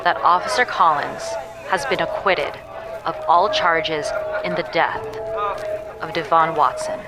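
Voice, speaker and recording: Female field reporter: lowish, formal, deliberate, loud music behind. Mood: serious. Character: female TV news reporter live outside courthouse. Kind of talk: news report within audio drama.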